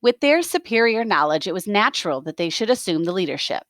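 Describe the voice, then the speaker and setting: A woman reading a novel aloud as a teacher, expressive, with plain explanatory asides for students. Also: medium pitch, fast talker, dry recording, studio quality.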